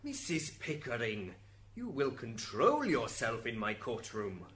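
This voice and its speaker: A man's voice: a little high, sing-song, slow, pushed and bright, a little hiss or hum, full character voice. A man playing a curt, impatient judge of heaven in an amateur radio drama. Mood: irate, commanding. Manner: commanding, curt.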